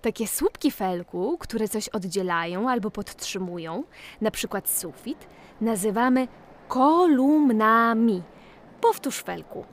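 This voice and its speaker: A woman's voice: fairly high pitch, lively intonation, slow, a little hiss or hum. A woman playing a curious, bookish young girl in a children's audio play, eagerly explaining things like a big sister. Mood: proud teaching. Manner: eager, explanatory, teaching her brother.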